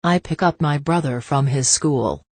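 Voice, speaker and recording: A woman reading translated practice phrases slowly and evenly, one at a time. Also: deep voice, husky, dry recording. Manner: slow, even, careful phrase-by-phrase reading. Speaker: phrase reader.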